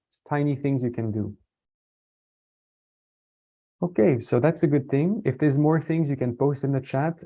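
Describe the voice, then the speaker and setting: A man from France giving a casual online talk, relaxed and hesitant, with many fillers and restarts. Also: mid-range voice. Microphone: computer mic on a video call. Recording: dull lo-fi video call audio.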